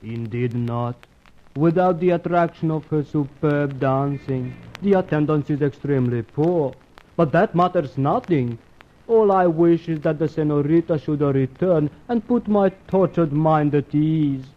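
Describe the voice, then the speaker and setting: A man plays a desperate, lovesick Brazilian cabaret proprietor in an old radio melodrama. His voice sits fairly high and he implores in formal, florid, aggrieved phrases. The sound is muffled and lo-fi. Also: measured pace, natural voice. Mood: mournful, aggrieved. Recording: dull lo-fi old radio recording.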